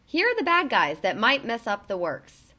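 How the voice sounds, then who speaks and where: Woman, children's read-aloud, fairly high pitch, very varied intonation, expressive, emphatic storyteller. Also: normal speed. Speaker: children's book reader.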